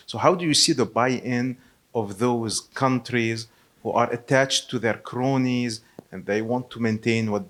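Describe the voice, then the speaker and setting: A man's voice: lowish voice, fairly bright tone. A man from Lebanon, putting a question from the audience at a panel event. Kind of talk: prepared question from the floor.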